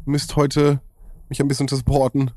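A man co-hosting a casual podcast, a bit under the weather, jumping in with lively, laughing remarks. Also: mid-range voice, moderate pace, dry recording. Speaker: podcast co-host. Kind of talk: casual chat.

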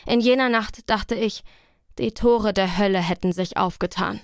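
A woman's voice: mid-range voice, normal speed, clear, resonant tone, no echo, clean studio sound, close to an everyday voice. A woman narrating as a survivor of a terrible sea crossing in a radio play, solemn and grave.

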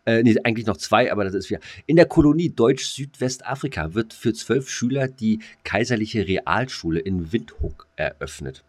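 A man's voice: on the low side, animated, moderate pace, few pauses, a little mellow. A man co-hosting a podcast in casual comedic banter, rambling and throwing in jokey asides. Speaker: podcast co-host.

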